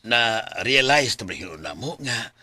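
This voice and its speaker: Casting: an older Cebuano father, lovestruck and insistent, very forceful, husky, lively intonation, radio drama. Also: mid-range voice, takes it slowly, exaggerated voice. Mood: earnest. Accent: Cebuano Filipino. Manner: earnest, insistent. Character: older father, stubbornly in love again. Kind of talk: Filipino radio drama acting.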